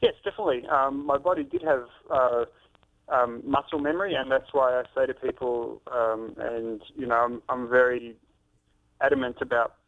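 A man's voice: mid-range voice, steady tone, normal speed. A man in his thirties, a fitness author and trainer, talking earnestly in a phone-in interview with many ums and restarts. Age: 30s. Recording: tinny phone line.

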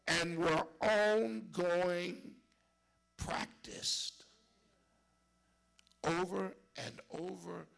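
A man's voice: high-pitched, fairly even intonation, very slow, vocal fry, pauses often. A man preaching a sermon in a church cadence, building with repeated lines and sometimes sinking to a whisper. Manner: preaching with rising shouts and dropping to whispers; call-and-response church cadence, repetition.

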